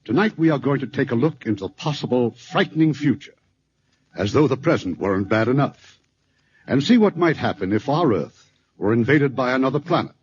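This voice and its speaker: A man's voice: medium pitch, lively intonation, a little fast, a little mellow, slightly rough. A man hosting a 1950s radio drama, introducing the evening's play with genial, wry showman's patter. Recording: muffled 1950s radio broadcast with faint hiss.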